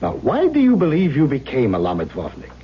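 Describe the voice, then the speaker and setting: An actor playing a probing, challenging doctor in an old-time radio drama, speaking in a pointed, analytical way. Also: male voice, a little high, wildly swooping pitch, fairly quick, a little hiss or hum. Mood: probing, insistent. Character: probing, challenging male doctor lecturing his patient. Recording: muffled, humming old radio recording.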